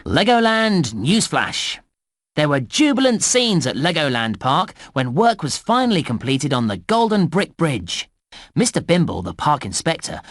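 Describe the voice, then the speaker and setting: An actor playing a cheerful, encouraging theme park guide, giving clearly enunciated instructions in a children's game. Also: male voice, fairly high pitch, no echo, studio quality. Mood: announcing. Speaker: game narrator and tutorial guide. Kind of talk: scripted children's game narration.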